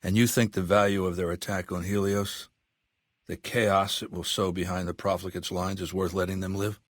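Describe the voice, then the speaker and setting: An actor playing an imperious, cruel middle-aged warlord dictator in a video game, speaking with commanding, sardonic deliberation. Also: male voice, deep voice, level tone, normal speed, dry recording, studio quality. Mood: stern, commanding. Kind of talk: video game character dialogue.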